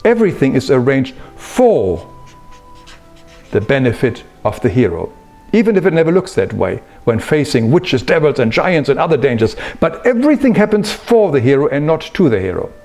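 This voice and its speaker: A man giving an explanatory spiritual talk in an earnest, emphatic manner with measured phrasing. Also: mid-range voice, very animated, a little mellow, faint music behind. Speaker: spiritual teacher.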